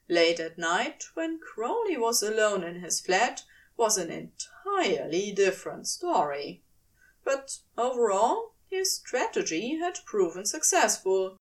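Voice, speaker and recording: A woman reading a story aloud as a podfic narrator, in an expressive, deliberate storytelling manner. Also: a little high, far from the mic. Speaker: podfic reader. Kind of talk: scripted fiction narration, audiobook reading.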